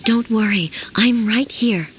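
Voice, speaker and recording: An actress playing a dignified, stern female ruler in an anime game, speaking formally with measured, solemn authority. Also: a little high, slight background noise. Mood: calm, soothing.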